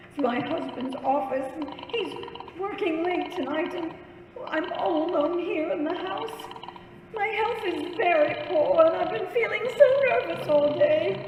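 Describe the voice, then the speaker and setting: A frail, imperious housewife in a radio thriller, with a very high voice. She frets and scolds in a flustered, melodramatic way. The sound rings in an echoey hall. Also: measured pace, noisy background. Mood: nervous, complaining. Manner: fretful, flustered, indignant, melodramatic. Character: frail, nervous, imperious invalid wife alone at home. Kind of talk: old-time radio thriller acting. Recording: echoey hall with long reverb.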